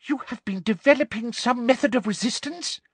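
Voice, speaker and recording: A woman's voice: a little high, brisk, husky, dry recording, voice pushed up. A woman voicing a harsh, power-hungry female official who speaks sharply with a false sweetness. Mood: harsh demanding.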